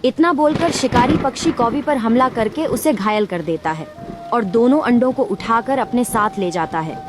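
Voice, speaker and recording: A woman narrating a children's cartoon story in a steady, even voice. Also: fairly high pitch, normal speed. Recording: narration over loud background music.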